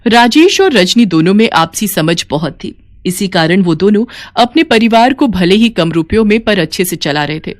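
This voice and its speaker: A woman's voice: mid-range voice, animated, normal speed, few pauses, no echo. Woman narrating a story, dry close sound.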